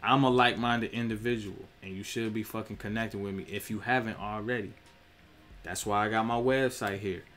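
A man's voice: on the low side, steady tone, a little fast, fairly bright tone, soft background music. A young man, streaming from a small room, preaching about spirituality in a direct, profane way. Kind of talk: casual spiritual monologue.

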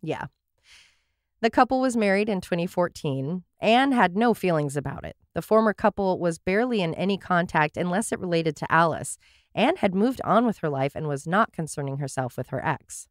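Woman true crime host, wry and sarcastic; low voice, dry close sound. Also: lively intonation, moderate pace, no echo. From Portland area, USA. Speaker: podcast host telling a true crime case. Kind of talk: scripted true crime narration with asides.

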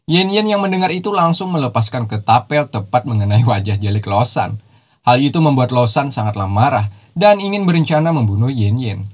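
A man's voice: medium pitch, lively intonation, a little fast. A man narrating a cartoon series recap in a casual, slangy voice-over, dropping teasing jokey asides.

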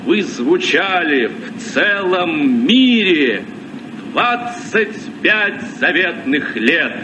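A man's voice: on the high side, big pitch swings, extremely slow, exaggerated voice. A man playing a solemn, hearty sea captain, reciting verse in a vintage radio play. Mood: solemn, festive. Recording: distant, muffled, noisy old radio recording.

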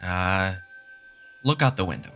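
A man's voice: low voice, lively intonation, unhurried, bright, forceful tone, soft background music, heavily acted. A man voicing a confident, sardonic male mathematician who explains things patiently and emphatically in a fan audio drama. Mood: lecturing. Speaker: fan voice actor.

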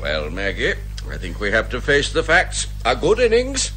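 A man playing a jolly, loyal older gentleman sidekick, hearty and consoling, in a radio comedy drama. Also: medium pitch, huge pitch swings, normal speed, soft background music. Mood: hearty, wistful.

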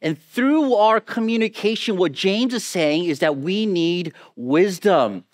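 A man in his forties preaching a sermon as a pastor, measured and emphatic, repeating key phrases. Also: high-pitched, lively intonation. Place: on stage in a church auditorium. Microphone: headset mic.

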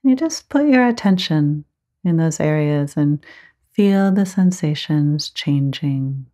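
A woman teaching guided meditation, giving gentle, measured instructions with frequent pauses. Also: deep voice, animated.

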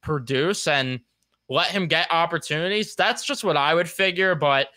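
A young man in his twenties talks through a postgame baseball recap alone from a home room. His voice is bright, pitched a little high and smooth, recorded dry and close. He is blunt and frustrated and rambles as he works through the numbers. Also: moderate pace, dry recording. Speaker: sports podcast host. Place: home room, gaming chair.

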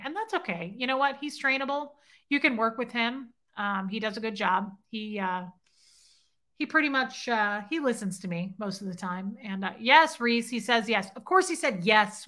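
A woman in her thirties with a bright, forward voice and a slightly high pitch. She chats casually with viewers on a livestream, teasing and flirting in a playful way. She sits at a webcam in her living room at home. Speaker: livestream host. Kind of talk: casual livestream chat reading comments. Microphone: webcam at desk.

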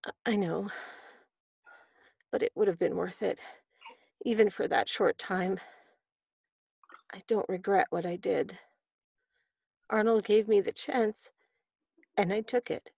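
A dying woman in a period radio mystery, played by an actress, tender and faltering. Her voice is husky and frayed with fry, very dry and close. She lets the words out haltingly. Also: medium pitch, steady tone, darkish tone, no room sound, highs cut off, exaggerated voice. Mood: weak, resolute. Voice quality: breathy, faltering. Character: dying woman, tender, devoted secret love, weakening. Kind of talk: period radio drama acting. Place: small padded recording booth. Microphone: studio microphone with headset.

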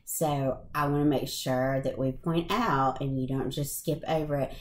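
A woman's voice: very deep voice, steady tone, moderate pace, reverberant. A woman co-hosting a podcast with casual, playful banter and teasing, exclamatory reactions. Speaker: podcast co-host.

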